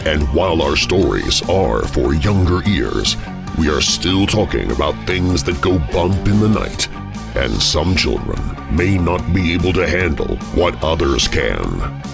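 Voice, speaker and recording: A man reading a scripted, spooky show introduction in an ominous, deliberate manner. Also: deep voice, vocal fry. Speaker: podcast intro announcer. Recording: prominent music under the voice.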